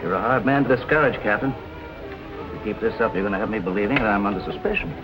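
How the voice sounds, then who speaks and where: A man's voice: fairly low pitch, fast, close to an everyday voice, rough recording. A man acting a cool, guarded nightclub owner in 1940s film noir style, clipped and dry. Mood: dryly guarded. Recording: old film soundtrack with music.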